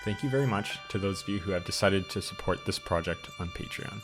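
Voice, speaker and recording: A man's voice: low-pitched, a little fast. A man reading a scripted outro thanking viewers, as an announcer. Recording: music playing behind the voice.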